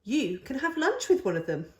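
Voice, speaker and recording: A woman's voice: sits high, very animated, normal speed, reverberant, voice pushed up. A woman voicing a patient, persuasive young boy, the older brother in a storybook, coaxing and reasoning. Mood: patient, reassuring.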